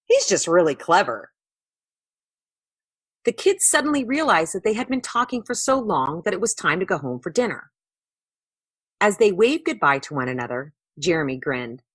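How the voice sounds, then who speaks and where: A woman narrates a children's storybook expressively. Her pitch is lively and varied, with a husky texture to the voice. The recording is dry, with little room sound. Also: a little fast, no room sound. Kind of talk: expressive children's read-aloud.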